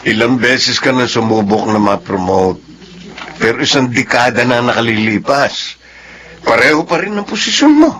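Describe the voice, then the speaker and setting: A man's voice: medium pitch, very animated, normal speed, fairly bright tone. A middle-aged working husband and father in a radio drama. His voice is mid-range and natural, with a plain-spoken delivery. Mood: doubtful, resigned.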